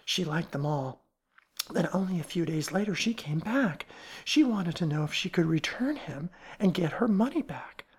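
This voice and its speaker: A woman's voice: on the low side, animated, moderate pace, darkish tone, husky. A woman voicing an eager, gossipy young female shop clerk in a storybook reading, chatty and confiding.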